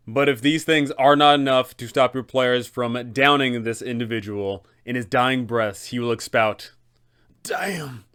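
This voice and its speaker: Clear-voiced man giving game master advice. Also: mid-range voice, a bit bright. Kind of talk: explanatory narration with role-play advice.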